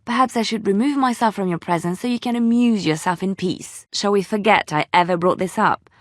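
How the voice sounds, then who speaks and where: A woman's voice: mid-range voice, brisk, no echo, studio quality, lightly acted. A woman playing an earnest, tender-hearted young adventurer in a fantasy video game, speaking hesitantly and sincerely. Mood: hurt, reproachful. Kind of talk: dramatic video game dialogue.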